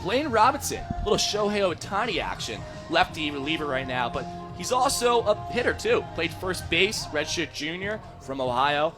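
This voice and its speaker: Young American male baseball color analyst, slightly high-pitched, live radio commentary between plays. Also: sing-song, normal speed, hardly any pauses, over music. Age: young adult.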